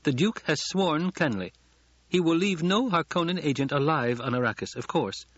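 A man voicing a shrewd, sweetly courteous noblewoman in an audiobook, with measured and formal speech. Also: on the high side, lively intonation, fairly bright tone, no echo, dull audio, voice pushed up. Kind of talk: audiobook character acting.

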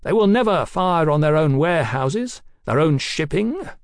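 A man's voice: fairly high pitch, expressive, normal speed, no echo, clean studio sound, voice pushed up. A man voicing a taunting, fanatical revolutionary villain in an audiobook, theatrical and declamatory.

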